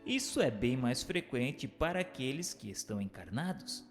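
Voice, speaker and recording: A man narrating and posing questions from a religious text in a formal, measured, didactic way. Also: medium pitch, big pitch swings. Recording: hall-like reverb, music bed.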